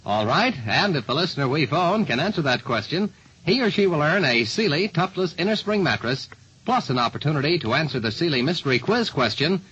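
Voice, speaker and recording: A man's voice: on the high side, very animated, brisk, bright, forceful tone, husky, lo-fi sound. A man announcing in 1940s radio style, on an old radio recording.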